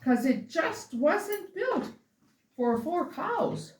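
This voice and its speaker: A woman reads a rhyming holiday story aloud in a playful, theatrical, drawn-out way. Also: very high voice, big pitch swings. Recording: roomy, distant mic, faint noise.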